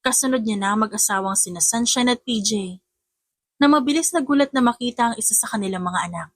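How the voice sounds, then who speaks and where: A woman's voice: fairly high pitch, quick pace, no echo, studio quality. A woman reading a romance audiobook as a dramatic scripted narrator.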